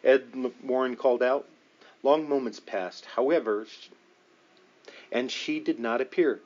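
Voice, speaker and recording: A man's voice: medium pitch, measured pace, darkish tone, dull audio. A man reading a paranormal book aloud as scripted narration, with a dramatic storytelling delivery. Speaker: audiobook reader.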